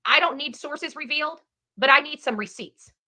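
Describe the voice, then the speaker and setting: Woman, fairly high pitch, bright forceful tone, emphatic repetitive phrasing, livestream commentary. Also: normal speed. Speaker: online true crime commentator. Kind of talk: livestream case commentary and casual chat.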